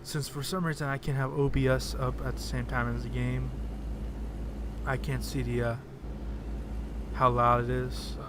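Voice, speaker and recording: A soft-spoken man chatting casually and hesitantly while streaming a game, talking into a desk microphone. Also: medium pitch, level tone, moderate pace, pauses often, background noise. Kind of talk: casual live-stream chat while playing. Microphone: desk microphone on a computer.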